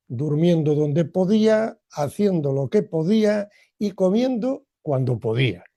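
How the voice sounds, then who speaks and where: A man's voice: on the high side, warm tone, no room sound, studio quality. A man gives a motivational training talk in a reflective, personal way, addressing listeners directly. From Argentina, emigrated to Spain as a boy.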